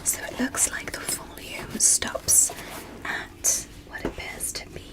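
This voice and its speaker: A woman whispering close to the microphone in a gentle, careful ASMR roleplay as a polite, curious alien medical examiner. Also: low-quality recording. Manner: gentle, slow, careful step-by-step explaining.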